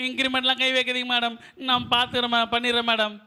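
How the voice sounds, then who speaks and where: A bumbling courier puppet who whines and pleads his way out of trouble, acted by a man. His voice sits very high and bright, and he mutters complaints under his breath. It's a lively puppet-show character. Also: fast talker, clean tone, heavily acted. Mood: whiny. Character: bumbling grumbling male parcel courier.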